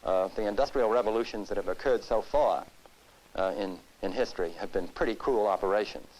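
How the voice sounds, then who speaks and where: A man from the United States giving serious interview commentary, speaking deliberately in formal, rhetorical phrases. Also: fairly low pitch, darkish tone, low-quality recording. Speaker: expert interviewee.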